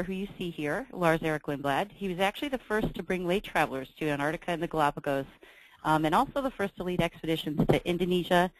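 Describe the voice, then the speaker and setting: A woman's voice: lowish voice, rough recording. A woman hosting a travel webinar, reading from slides in an upbeat way with frequent ums. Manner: reads from slides, brisk and upbeat with filler ums. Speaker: webinar host for a travel company. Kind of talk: scripted sales presentation.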